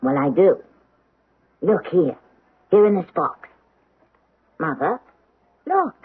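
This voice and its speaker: An actress playing a middle-aged daughter, solicitous but insistent, coaxing and probing in a 1970s radio drama. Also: female voice, fairly low pitch, very animated, slow pace, breathy edge, full character voice. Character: solicitous, insistent grown daughter pressing her aged mother. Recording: muffled, phone-like old radio broadcast.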